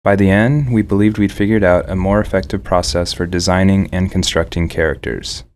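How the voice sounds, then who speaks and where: A man's voice: low voice, fairly quick, darkish tone, slight background noise. A man reading scripted developer commentary as a game artist explaining a process.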